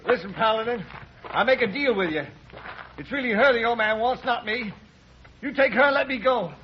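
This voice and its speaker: A cowardly outlaw in a western radio drama. His voice is strained very high as he pleads and bargains. The old recording is muffled, with faint hiss. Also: normal speed. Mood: desperate bargaining.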